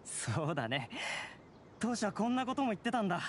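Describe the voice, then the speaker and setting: A man's voice: sits high, very animated, a little fast, a little hiss or hum. A man playing an earnest, frail young brother who wants his independence, in a Japanese anime game. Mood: friendly.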